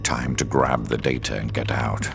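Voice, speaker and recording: An actor playing a cold, ruthless male villain in a game cutscene, speaking slowly with a deep gravelly voice and icy menace. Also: little pitch movement. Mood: cold, reflective. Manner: slow, icy, menacing delivery. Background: music.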